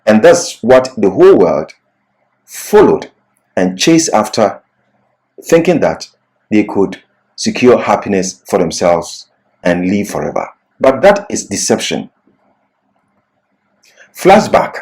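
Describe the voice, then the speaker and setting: A man's voice: on the low side, big pitch swings, slow pace, pauses often, a little mellow. A man giving an expository Bible lecture as a teacher, in a didactic, emphatic manner.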